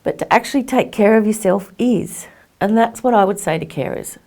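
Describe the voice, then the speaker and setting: A woman's voice: mid-range voice, moderate pace, gravelly, mellow. A woman giving reflective personal testimony as a carer, speaking earnestly and with emphasis. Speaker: carer sharing personal experience.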